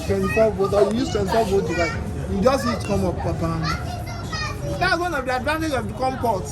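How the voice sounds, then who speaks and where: A man's voice: high voice, rough recording. A man with a Nigerian accent teaching a technical class, explaining emphatically with worked examples and repetition. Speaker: instructor. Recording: loud street noise, voices and music behind.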